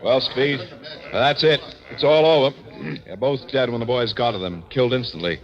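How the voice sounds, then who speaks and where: A man playing a brisk American police lieutenant in a 1940s radio crime drama. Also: medium pitch, natural voice. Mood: sober, curious. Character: brisk, curious, friendly male police lieutenant. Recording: dull old radio recording.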